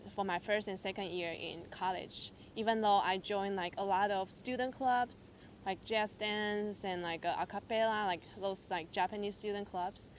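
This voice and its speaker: A woman's voice: mid-range voice, a little hiss or hum. Young woman from Taiwan telling her story. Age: young adult. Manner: hesitant, filler-heavy storytelling with lively quoted asides. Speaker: church member sharing testimony. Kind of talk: personal testimony.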